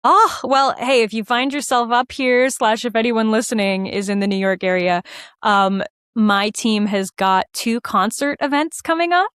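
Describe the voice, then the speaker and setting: A woman's voice: a little high, moderate pace, a bit bright, clean tone, no echo, clean studio sound. A woman chatting casually as a podcast guest, upbeat and talkative about her creative work. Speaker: podcast guest, writer and performer. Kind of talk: casual interview chat.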